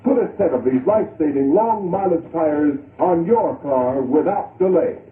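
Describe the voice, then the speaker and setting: Man, vintage commercial announcer, higher pitch, tinny band-limited old radio sound. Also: animated, normal speed, roomy sound, far from the mic.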